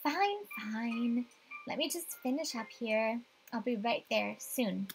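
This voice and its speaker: A woman's voice: on the high side, expressive, slow pace, over music, poor audio. A woman voicing a superstitious young female realtor, an earnest, slightly defensive visual novel heroine. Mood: matter-of-fact.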